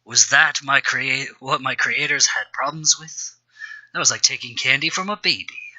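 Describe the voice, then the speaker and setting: A man reads out an arrogant supervillain from an amateur superhero story. The villain sounds smug and taunting, with a bright, cutting voice at a medium pitch. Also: normal speed, muffled recording. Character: arrogant, mocking male supervillain in fan fiction.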